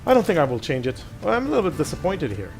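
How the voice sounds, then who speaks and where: A man's voice: on the high side, huge pitch swings, racing, gravelly. A middle-aged man whose first language is French, casually commenting on a game as he plays, thinking aloud with restarts and little self-deprecating asides. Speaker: gaming channel host. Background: game music.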